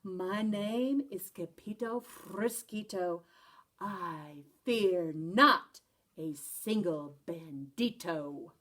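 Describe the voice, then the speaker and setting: A woman voicing an excitable little male kitten who pretends to be a Chihuahua sword fighter, in a storybook reading. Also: on the low side, very slow, pitched below the performer's own voice, exaggerated voice. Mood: hushed. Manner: breathless and bouncy, then tiny and hushed.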